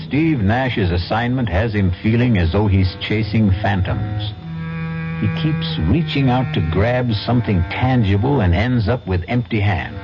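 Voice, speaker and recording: A man's voice: lowish voice, expressive. Grave male radio drama narrator. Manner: grave, measured. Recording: dull lo-fi vintage radio with music.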